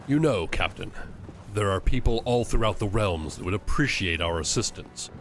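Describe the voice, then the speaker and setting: A man playing a proud, zealous witch hunter in a fantasy audio drama, measured, formal and persuasive. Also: fairly low pitch, animated, a bit bright, soft background music. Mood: probing, polite.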